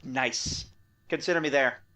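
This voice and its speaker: A man playing an excitable scientist in an audio drama, explaining technical things in a wry way. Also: medium pitch, measured pace, heavy background noise, close to an everyday voice. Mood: casual, pleased. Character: excitable male scientist explaining space physics.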